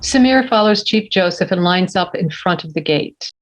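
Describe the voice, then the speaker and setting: A woman's voice: on the low side, lively intonation, moderate pace. A woman reading scene directions in scripted narration at a table read. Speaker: stage direction reader. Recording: slightly dull lo-fi audio.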